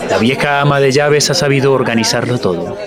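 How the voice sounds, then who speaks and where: A man narrating a scripted radio drama in deliberate, measured phrases. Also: medium pitch. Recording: loud music and crowd behind.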